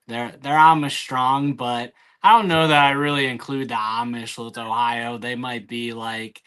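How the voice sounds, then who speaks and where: A man's voice: mid-range voice, fairly even intonation, a little slow, a bit bright, clear, resonant tone. A man chatting casually and jokingly, with drawling, hesitant trash talk.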